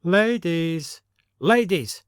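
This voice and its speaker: A man's voice: sits high, animated, very slow, clear, resonant tone, dry recording, studio quality, voice pushed up, exaggerated voice. A man voicing a prim, bossy upper-class village lady with a commanding, formal manner in an audio story. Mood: brisk, commanding.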